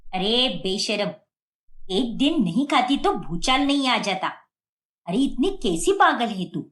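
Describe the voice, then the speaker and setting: A bossy middle-aged mother-in-law from a cartoon moral tale, as a woman performs her. She scolds with exasperation in a lowered, highly animated voice. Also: a little slow. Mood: indignant, scolding. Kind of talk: Hindi moral-story cartoon voice acting.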